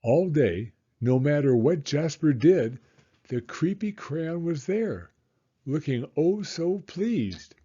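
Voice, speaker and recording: A man reading a children's story aloud in a theatrical, suspenseful way with drawn-out emphasis. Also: fairly high pitch, expressive, warm tone, breathy edge, dull audio.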